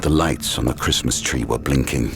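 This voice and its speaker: A man narrating a thriller audio drama in a measured, ominous, suspenseful style. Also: rumbling low. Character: ominous male third-person thriller narrator. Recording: loud dramatic music behind the voice.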